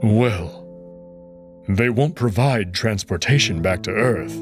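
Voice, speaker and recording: A man voicing an earnest, formal male furry alien who speaks in a measured, dutiful way. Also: on the low side, lively intonation, music in the background. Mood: careful.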